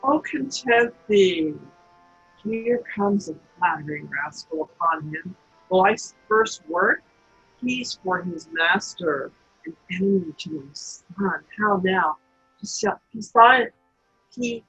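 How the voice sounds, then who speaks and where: A woman playing a sly, commanding wicked queen in a Shakespeare read-through, with theatrical, halting delivery over a video call. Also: fairly low pitch, a bit bright. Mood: imperious. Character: scheming wicked queen, middle-aged stepmother, commanding and sly. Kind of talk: Shakespeare play read aloud. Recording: muffled video-call audio.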